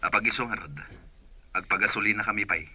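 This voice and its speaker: Sharp, bright-toned man on a call. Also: fairly low pitch, fast talker, husky, full character voice. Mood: businesslike. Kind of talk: Ilocano radio drama acting.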